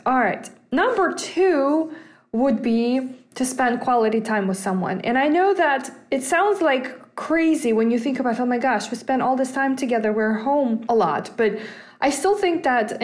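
Woman hosting a podcast, higher voice. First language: Russian. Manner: easygoing, talks as ideas come to her. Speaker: podcast host. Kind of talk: solo finance podcast, thinking aloud.

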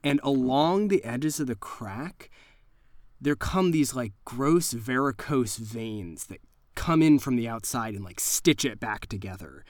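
A man's voice: mid-range voice, lively intonation, unhurried, a little mellow, dry recording, clean studio sound. A man working as game master, narrating an improvised horror tabletop game in a conversational, suspenseful way.

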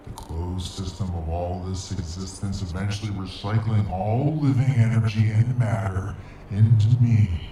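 A man's voice: lowish voice, steady tone, normal speed, natural voice. A man playing a vengeful, grieving ancient interdimensional monster in a sci-fi audio drama, speaking in grandiose, formal menace. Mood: mournful, brooding. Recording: noisy, echoing, distant-sounding.

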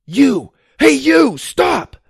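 A man reading aloud and voicing an excitable young boy who is an eager, boastful kung fu fan. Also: medium pitch, unhurried, no room sound, studio quality. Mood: shouting.

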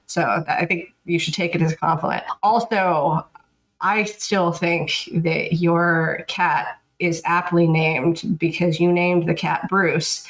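Low-voiced monotone woman, dry sarcastic podcast cohost. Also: takes it slowly, rough recording. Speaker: podcast co-host. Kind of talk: casual chat.

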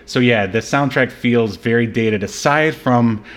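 A man with a middling pitch hosts a casual film discussion podcast. He talks in a rambling, halting way, feeling out his thoughts as he goes in loose conversational phrasing. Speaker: podcast host.

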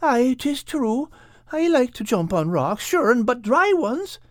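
Man doing a high, timid storybook animal fellow. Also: big pitch swings, unhurried, dry recording, clean studio sound, heavily acted. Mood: nervous, reluctant. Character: timid, stubborn, reluctant male animal adventurer.

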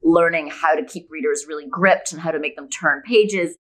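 A middle-aged woman from Scotland speaks as an interview guest. Her voice sits a little low. She talks in a reflective, conversational way, explaining herself through examples. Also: normal speed. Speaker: guest, novelist.

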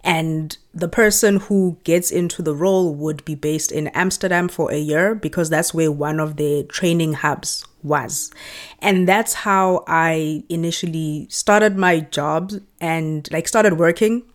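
A South African woman in her thirties, a podcast guest, telling her story in an animated, reflective way. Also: sits low, a little slow. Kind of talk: conversational interview storytelling.

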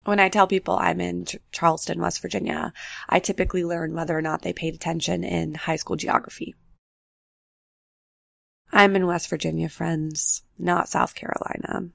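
A woman's voice: fairly low pitch, steady tone, gravelly, no echo, clean studio sound. A young woman hosting a solo podcast, talking candidly and unhurriedly about her life, a bit nasal from the flu. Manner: candid, unhurried, personal storytelling. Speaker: podcast host. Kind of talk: solo reflective podcast talk. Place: apartment with road noise outside.

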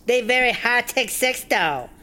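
Slow, scornful startup boss in broken English. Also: female voice, fairly high pitch, lively intonation, sharp tone. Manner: broken English, berating, caricatured. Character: scheming, scornful Chinese startup boss and trafficker woman. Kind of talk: comedy audio drama acting.

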